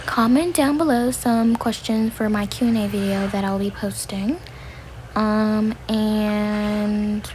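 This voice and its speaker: A woman's voice: on the high side, a little hiss or hum, low-quality recording. A woman hosting her own role play video, chatting casually to viewers. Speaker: video creator and host. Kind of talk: casual voiced toy role play and outro.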